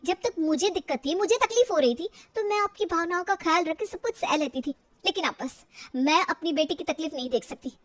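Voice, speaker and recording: A woman's voice: extremely high pitch, lively intonation, a little fast. A woman voicing a young daughter-in-law in a story, polite and dutiful but firm as she explains herself. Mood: firm, fed up.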